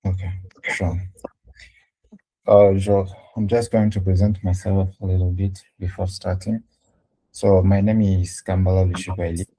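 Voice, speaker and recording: Patient deep-voiced man teaching on a call. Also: little pitch movement, warm tone, poor audio. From Congo. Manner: slow, patient, with frequent fillers and restarts. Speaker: French teacher. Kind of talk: online one-to-one language lesson. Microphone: video call.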